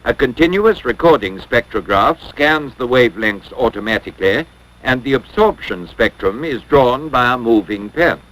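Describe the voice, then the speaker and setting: A man narrating a 1950s educational film from a script in a measured, formal, instructional way. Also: mid-range voice, animated, fairly bright tone, rough recording.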